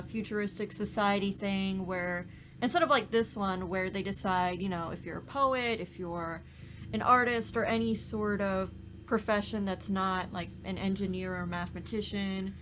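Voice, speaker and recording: A woman's voice: medium pitch, a bit bright. Casual woman co-host, faint background noise. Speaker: podcast co-host and voice actor. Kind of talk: casual round-table chat.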